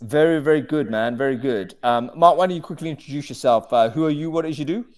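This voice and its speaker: A man's voice: fairly high pitch, quick pace, darkish tone. A man hosting a casual video-call chat, talking in a rambling, self-deprecating way. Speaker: host.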